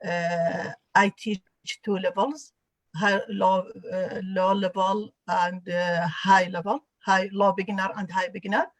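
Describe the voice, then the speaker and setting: A woman from Iraq hosts a roundtable over a video call, politely introducing each guest. Her speech is halting and full of fillers. The sound is dry, with no room echo, and her pitch sits in the middle. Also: flat delivery. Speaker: host and adult education instructor.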